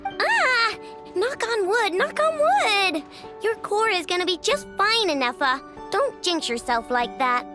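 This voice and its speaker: A woman voicing an excitable, cocky young girl inventor in a video game, bubbly and boastful, full of exclamations. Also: very high voice, measured pace, music in the background, full character voice. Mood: alarmed, scolding.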